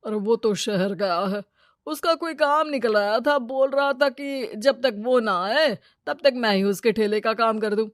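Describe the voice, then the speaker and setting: A woman voicing a greedy, scheming male village moneylender, loud and brash in a cartoon story. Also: fairly high pitch, measured pace, no room sound, studio quality, natural voice.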